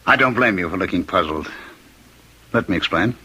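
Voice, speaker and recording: An actor in a radio drama playing a cultured, eerily courteous condemned murderer who was once a professor, speaking in deliberate, theatrical phrases. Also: male voice, low-pitched, animated, bright, forceful tone, breathy edge, full character voice, low-quality recording. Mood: sardonic, insistent.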